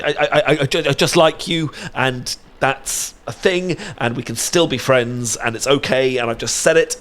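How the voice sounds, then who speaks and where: A British man acting a nervous, smitten young man in a romantic roleplay, stammering and halting earnestly as he talks. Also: medium pitch, lightly acted. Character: nervous, smitten young man confessing to his best friend.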